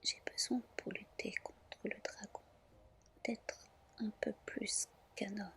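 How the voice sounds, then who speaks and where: A woman voicing a small, bold mortal man who bargains, in a soft, earnest ASMR storybook reading. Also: medium pitch, fairly even intonation, slow. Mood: earnest, bargaining.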